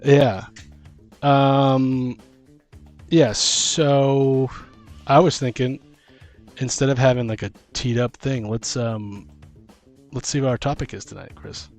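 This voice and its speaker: Man, medium pitch, casual podcast host, halting and rambling, faint guitar behind. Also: steady tone, a little mellow. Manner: rambling, hesitant, thinking aloud. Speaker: podcast host and game master. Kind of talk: casual chat about tabletop role-playing.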